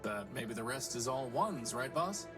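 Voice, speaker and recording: A man's voice: mid-range voice, lively intonation, unhurried, close to an everyday voice. Man as a wisecracking robot sidekick. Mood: wry, sarcastic. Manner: wry, quipping. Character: small wisecracking robot sidekick, sarcastic and eager. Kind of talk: video game voice acting. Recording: loud music under the voice.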